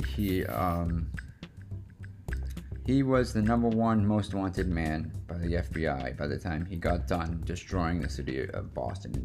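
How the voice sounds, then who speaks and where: A man with a low, gravelly voice hosts a casual interview show. He talks conversationally and haltingly, feeling his way through phrases. Guitar music plays behind him. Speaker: podcast host interviewing his father.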